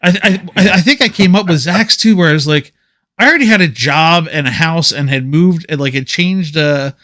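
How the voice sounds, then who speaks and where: A man's voice: on the high side, normal speed, pushed and bright, dry recording, clean studio sound. A man in his forties, a podcast host, chatting casually and laughing often. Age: 40s. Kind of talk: casual chat.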